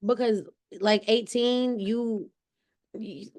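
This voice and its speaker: A woman's voice: on the high side, fairly even intonation, extremely slow, darkish tone. A woman raised in Cleveland with a light Southern accent, chatting casually on a podcast and leaning on "like" a lot. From Cleveland, Ohio. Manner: chatty, many "like" fillers and restarts. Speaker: podcast guest. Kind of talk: casual conversation.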